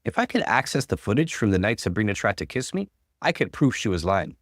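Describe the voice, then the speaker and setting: A male narrator with a fairly low voice reads a first-person story aloud. His delivery is dramatic and very varied in pitch. The sound is dry, with no room around it. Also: big pitch swings, brisk, a bit bright, dry recording. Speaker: narrator reading stories aloud.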